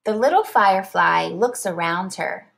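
A woman reading a children's storybook aloud like a teacher, expressive and deliberate. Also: mid-range voice, gravelly.

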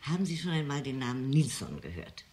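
An earnest woman visitor in a radio play. She speaks haltingly but emphatically, with very lively, swinging pitch over faint background noise. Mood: earnest, insistent. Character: hesitant, earnest woman visitor reporting a clairvoyant. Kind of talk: German radio play acting.

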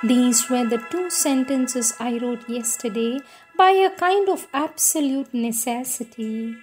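Music plays clearly behind a woman reading devotional prayers. Her voice sits a little high, and she goes slowly, with a solemn and reverent delivery that gives each phrase a measured shape. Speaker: reader.

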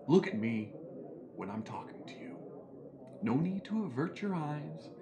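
A man's voice: on the high side, sing-song, a little slow. A man playing a haughty, contemptuous commander giving orders to an underling in an audio drama. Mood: menacing, commanding. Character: imperious male commander, menacing and contemptuous. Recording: noisy covert room recording.

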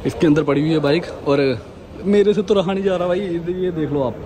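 A young man vlogging casually and excitedly to his viewers outdoors, filming himself on an action camera held at arm's length. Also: on the high side, a little fast. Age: young adult. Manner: excited, addresses viewers as guys and brother. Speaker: motovlogger. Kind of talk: casual vlog chat. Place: outdoors by a roadside and a showroom lot. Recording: action camera with loud street noise.

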